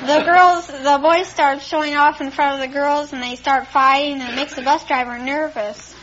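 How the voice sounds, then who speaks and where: A woman's voice: high voice, fairly even intonation, moderate pace, fairly bright tone, some chatter behind. A young teenage American girl chatting and answering questions as a contestant on a quiz show. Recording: dull, noisy old radio recording, audience. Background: studio audience.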